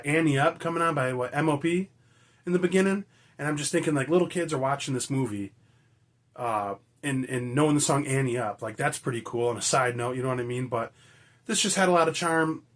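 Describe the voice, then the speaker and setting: A raspy man, hoarse from being sick, from Michigan, chatting enthusiastically and rambling as a movie-collecting vlogger. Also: mid-range voice. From Michigan, USA. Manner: enthusiastic, rambling, frequent fillers and mild swearing. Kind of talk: casual chat to camera.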